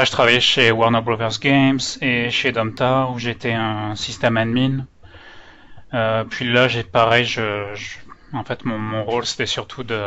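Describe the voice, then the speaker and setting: Casting: male user group presenter, French-Canadian mixed accent, crisp bright tone, somewhat nervous, muffled online-call sound. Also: medium pitch. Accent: French with Canadian-influenced intonation. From France. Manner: hesitant, nervous speaker. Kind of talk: informal technical presentation. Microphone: online meeting microphone. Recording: dull, lo-fi online call audio.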